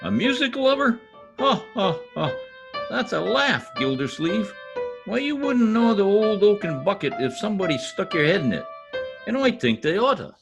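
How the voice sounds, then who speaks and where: A man's voice: high voice, sing-song, moderate pace, creaky, music in the background, exaggerated voice. A man playing a folksy, cocky, stubborn husband in an old-time radio comedy.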